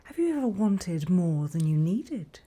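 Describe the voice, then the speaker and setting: A British woman playing a sly, greedy female demon auctioneer in a whispered ASMR roleplay, with a soft breathy voice and a deliberate, coolly questioning manner. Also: lowish voice, very animated, exaggerated voice. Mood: greedy, probing.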